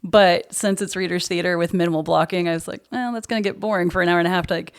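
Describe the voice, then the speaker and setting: A woman talking casually as a podcast guest, a theatre director who tells stories in an amused, animated way. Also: on the low side, quick pace, clean tone. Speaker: guest, high school theatre director and producer. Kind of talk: casual podcast interview chat.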